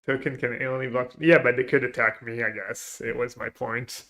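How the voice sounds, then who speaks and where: A man's voice: medium pitch, fairly even intonation, normal speed, fairly bright tone. A man live-streaming a card game, chatting casually with viewers and thinking his plays aloud. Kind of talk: live gameplay commentary, reading chat.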